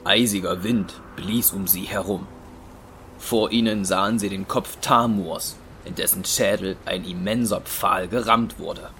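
A man's voice: low voice, steady tone, measured pace, a bit bright, slight background noise. A man narrating a fantasy action story from a script in a dramatic storytelling style. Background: clear music.

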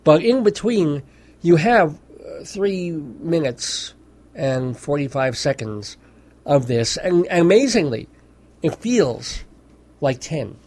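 A man's voice: on the high side, big pitch swings, takes it slowly, a little mellow. Wry, amused male radio host. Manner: wry, amused, mock-serious. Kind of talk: casual radio commentary. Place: radio studio.

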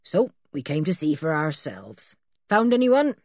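A woman's voice: low voice, very animated, unhurried, no room sound, studio quality, full character voice. A woman voicing a friendly, matter-of-fact village policeman in a storybook, with a ponderous manner. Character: stolid, friendly village policeman, adult man.

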